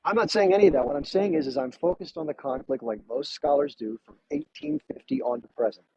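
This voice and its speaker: Fast-talking gravelly male streamer who pushes hard in debate; muffled, noisy live feed. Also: a little high, very animated. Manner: forceful, insistent, talks over the caller. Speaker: livestream host.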